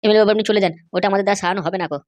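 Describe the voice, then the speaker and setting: Woman voicing a blunt, very fast cartoon man. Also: mid-range voice, steady tone, darkish tone, dry recording, close to an everyday voice. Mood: reproachful. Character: blunt, sensible older-brother type cartoon man. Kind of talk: comedy cartoon dialogue.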